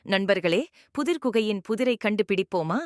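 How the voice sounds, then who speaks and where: A woman's voice: medium pitch, expressive, normal speed, dry recording, natural voice. Woman as an eager girl adventurer, dry sound. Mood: eager, polite. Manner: clear instruction, addressing viewers as friends. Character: young girl adventurer, polite and eager to help. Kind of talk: children's cartoon dub.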